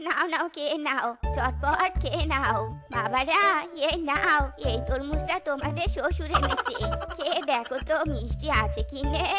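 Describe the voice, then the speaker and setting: A woman's voice: high-pitched, animated, moderate pace, loud music behind the voice. A woman voicing a pushy, falsely sweet older fox mother-in-law in a comic cartoon, coaxing and urging repeatedly. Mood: sugary, pushy.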